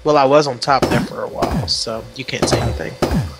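A man's voice: mid-range voice, fairly quick, loud music behind the voice. A man joking around as a guest player in casual game chat. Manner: joking, teasing.

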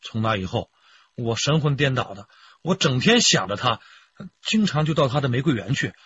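An actor playing a rough, boastful, lovestruck fisherman questioned by police in a radio drama, folksy and rambling with a husky voice. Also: medium pitch, very animated, normal speed, a bit bright, highs cut off, full character voice. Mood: smitten, rambling.

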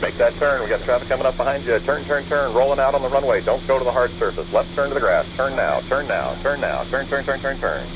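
A man's voice: mid-range voice, lively intonation, fast talker, few pauses. Insistent male controller on muffled, noisy radio. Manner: insistent, repeats short commands. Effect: aviation radio. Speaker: airport tower controller. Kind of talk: urgent radio landing instructions. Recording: muffled aviation radio, engine hum. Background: small airplane engine hum.

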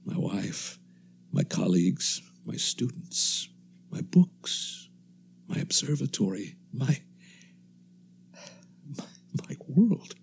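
An actor playing a haunted, weary professor and lone survivor, in a hushed, halting radio monologue. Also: male voice, medium pitch, very animated, creaky, a little hiss or hum, full character voice. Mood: lost, despairing. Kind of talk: dramatic first-person radio monologue.

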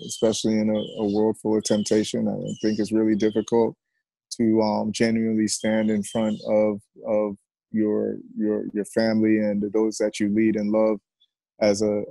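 Middle-aged male coach, mellow and gravelly, reflective and halting, casual interview. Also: on the low side, no room sound, low-quality recording. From Jamaica and United States. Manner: reflective, halting with repeated words. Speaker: high school football coach, interview guest. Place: covered outdoor porch.

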